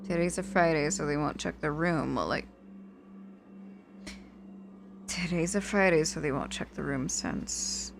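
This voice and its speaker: Woman acting a wry young witch, low-pitched, crackly fry, casual and teasing, gruffly caring, intimate ASMR roleplay, faint background noise. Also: expressive, moderate pace. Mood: grudgingly tender. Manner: casual, teasing, feigned reluctance.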